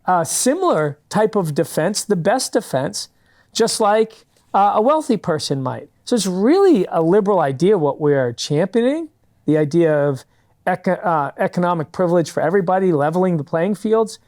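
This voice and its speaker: A man's voice: sits high, very animated, moderate pace, darkish tone. A man answering questions in a studio interview, speaking earnestly and explaining his views at length with frequent restarts. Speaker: guest, fundraising company founder. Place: public TV studio.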